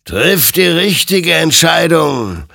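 A man voicing an all-knowing rider made of burning souls in a dark story, slow, commanding and portentous. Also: mid-range voice, wildly swooping pitch, vocal fry, slightly rough, dry recording, clean studio sound, voice pushed up, exaggerated voice.

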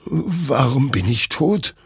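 A man playing a frightened, war-weary soldier in a dark story, strained, faltering and pleading. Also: a little high, measured pace, gravelly, husky, no echo, clean studio sound, pitched above the performer's own voice, full character voice. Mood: despairing.

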